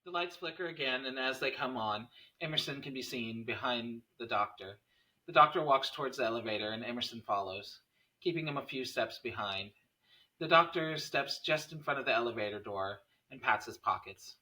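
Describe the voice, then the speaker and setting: A man's voice: medium pitch, moderate pace, pushed and bright, rough recording. A man narrating a screenplay, reading out scene headings and stage directions as a scripted narration.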